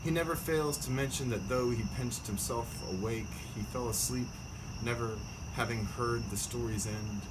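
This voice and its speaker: A man's voice: medium pitch, normal speed. A man hosting and speaking as a poet, moving between reading aloud and casual talk. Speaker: poet and podcast host. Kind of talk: poetry reading and casual chat. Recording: noisy recording with loud night insects. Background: crickets and insects.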